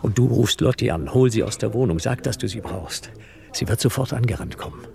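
An actor in a German radio drama playing a determined, controlled man suspected of murder, questioning tersely in a husky, gravelly voice. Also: mid-range voice, animated, fairly quick, darkish tone. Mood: urgent, resolved.

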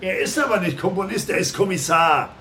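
Eager police subordinate, notably high voice, urgent, for radio crime drama. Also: male voice, animated, fairly quick, pushed and bright, a little hiss or hum, heavily acted. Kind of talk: German radio play acting.

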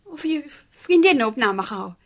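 A woman's voice: high voice, lively intonation, normal speed, no room sound. An actress playing a determined young girlfriend in a radio play, pleading and pushy, sometimes stumbling over repeated words. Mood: defiant. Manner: insistent, hesitant repetitions.

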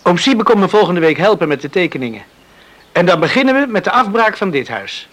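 A man's voice: high-pitched, big pitch swings, a little fast, a bit bright, exaggerated voice. An actor playing an eager, caring young countryman in a Dutch radio drama, speaking earnestly and solicitously. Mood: tender, caring.

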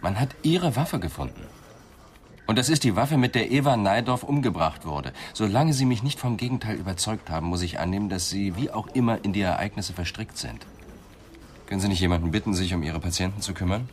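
An actor playing a dry, persistent police inspector in a radio crime drama, questioning with sceptical insistence. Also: male voice, on the low side, animated, a little fast, lightly acted. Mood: insistent, probing.

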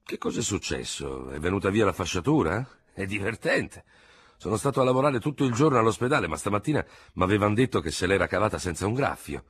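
A man's voice: fairly low pitch, fast, slightly rough, voice pushed up. A man playing a dry, slightly dull old military surgeon in a read-aloud novel. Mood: dry, questioning. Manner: flat, dull, repeats his favorite word. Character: gruff, dry old army surgeon, male.

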